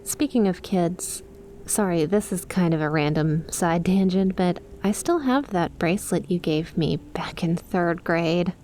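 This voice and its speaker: A woman's voice: fairly low pitch, measured pace, dark tone, slight background noise, lightly acted, rough recording. A woman playing an affectionate young snake-girl best friend in an ASMR roleplay, teasing and chummy as she talks to the listener. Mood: sincere, chatty.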